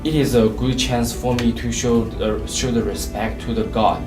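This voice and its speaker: A man's voice: medium pitch, fairly even intonation, normal speed, over music. A man from China, a student, speaking hesitantly with fillers and repeated words. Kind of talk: recorded personal statement.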